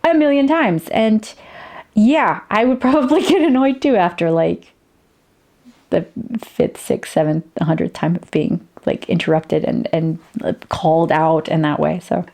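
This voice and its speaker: A woman's voice: fairly low pitch, a little slow, a little mellow. A woman giving casual reaction commentary, rambling with many restarts and fillers. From United States. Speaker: YouTuber reacting to a video.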